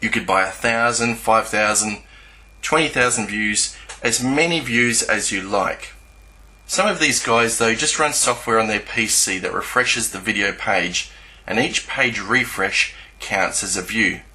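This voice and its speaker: A man giving a scripted explanatory narration in a measured, didactic way, spelling out each step. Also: on the low side, pushed and bright, poor audio. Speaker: YouTube channel narrator.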